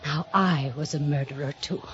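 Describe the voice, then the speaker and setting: A woman's voice: low-pitched, moderate pace, heavy background noise, natural voice. An actress playing a secretive, reckless murderess who narrates her own crime with dramatic intensity in 1950s radio mystery style. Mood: tense narration. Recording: dull old radio recording, loud music behind.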